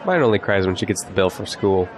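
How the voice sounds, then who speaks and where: Animated, wry young male graduate played by an actor. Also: low voice, fast. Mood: wry, casual. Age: young adult. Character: confident, sarcastic young male college graduate. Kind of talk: scripted audio drama dialogue. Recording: faint background noise, outdoor crowd ambience.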